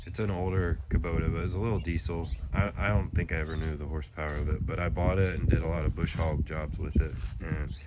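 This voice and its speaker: A man's voice: deep voice, quick pace, few pauses, very noisy. A young man with a stuffed-up head cold, rambling casually through a phone-filmed how-to vlog. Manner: relaxed rambling with fillers. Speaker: channel host. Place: pole barn, then outdoors on a lawn. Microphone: phone at arm's length, then phone camera behind it.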